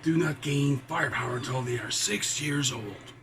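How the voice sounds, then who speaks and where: A man voicing a patient mother dragon from a children's storybook, explaining things in a measured, instructive way. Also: mid-range voice, a bit bright, lightly acted. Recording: noisy, with background ambience.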